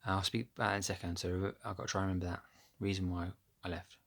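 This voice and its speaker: A man with a deep voice chatting casually to his phone camera at home, rambling and losing his thread with plenty of ums. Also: fairly even intonation, rapid-fire, creaky, fairly bright tone. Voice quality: low, deep voice. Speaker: vlogger. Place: at home, upstairs room. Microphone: phone camera held close.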